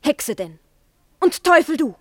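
A cruel, possessive witch with a high voice in a radio play from the 1950s. She declaims verse slowly and intensely, moving between whispers and cries, in a dry studio sound. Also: big pitch swings, a bit bright, dry recording, heavily acted. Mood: possessive, menacing. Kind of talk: 1950s German radio verse drama acting.

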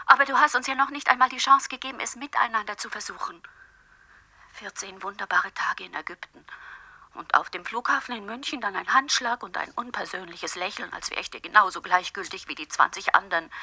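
Spurned female lover, radio drama. Very forceful, husky edge, pleading and bitter, whispery dips, close dry recording. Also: medium pitch, no echo, heavily acted. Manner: reproachful, wounded, intense, dropping into breathy whispers. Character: jilted, hurt woman pleading with her former lover on cassette.

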